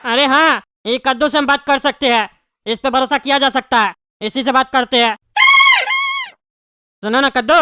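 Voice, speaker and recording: A high-voiced performer playing a hot-tempered, scheming bald father in jail, scolding in Bihari-style Hindi for a comedy cartoon. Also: fairly even intonation, moderate pace, pauses often, natural voice. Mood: determined, plotting. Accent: eastern Indian (Bihari-style) Hindi. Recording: clearly noisy background.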